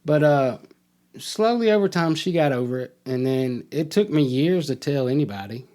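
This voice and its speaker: A man's voice: on the high side, animated, moderate pace, warm tone. Middle-aged man telling a personal story. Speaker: podcast guest telling his life story.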